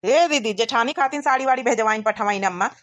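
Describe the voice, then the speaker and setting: An eager younger brother in a village cartoon comedy, played by a woman. He has a fairly high voice and an Awadhi accent, and chats politely and generously. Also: fast, fairly bright tone, lightly acted. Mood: cheerful, chatty. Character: eager, generous younger brother visiting his married sister.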